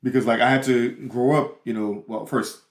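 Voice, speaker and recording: A young man from New Orleans with a New Orleans accent, co-hosting a podcast in a halting, filler-heavy casual chat. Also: mid-range voice, steady tone. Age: young adult. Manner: halting, self-interrupting, many fillers. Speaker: podcast co-host. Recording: roomy echo.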